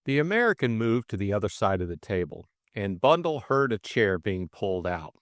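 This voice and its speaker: A man's voice: lowish voice, sing-song, moderate pace, no room sound, clean studio sound. A man reading a classic mystery audiobook as scripted dramatic narration.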